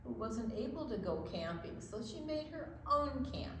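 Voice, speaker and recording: A woman's voice: on the high side, big pitch swings, normal speed, slight background noise. A woman hosting a children's storytime, reading and chatting expressively, drawing out words for young listeners. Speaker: children's storytime host and reader. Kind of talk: expressive read-aloud and friendly chat.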